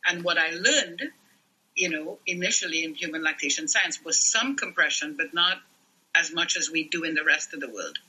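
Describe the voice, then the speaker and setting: A man's voice: on the high side, moderate pace, sharp tone, slightly rough, rough recording. A middle-aged man from Trinidad and Tobago, a lactation specialist explaining earnestly as a podcast guest. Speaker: newborn care and lactation specialist.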